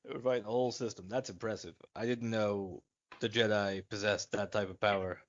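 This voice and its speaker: A man's voice: lowish voice, fairly even intonation, brisk, highs cut off. A man co-hosting a podcast in loose, joking, casual fan chat. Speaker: podcast co-host.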